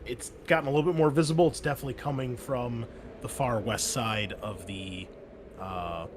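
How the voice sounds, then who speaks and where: A man acting as host and game master of a tabletop roleplaying game, explaining the scene in a relaxed way with many fillers. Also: mid-range voice, moderate pace, background noise. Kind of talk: running a tabletop game session.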